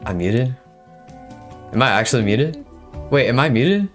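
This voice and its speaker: A young man narrating his game episode and chatting in voice chat, excitable and quick to repeat himself in bursts. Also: medium pitch, soft background music.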